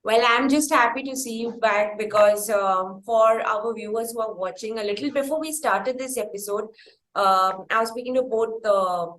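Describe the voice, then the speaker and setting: A woman's voice: on the high side, normal speed, fairly bright tone, a little hiss or hum. Indian-accented woman hosting, in a roomy echo. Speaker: interview show host. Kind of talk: conversational interviewing. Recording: roomy, echoing sound.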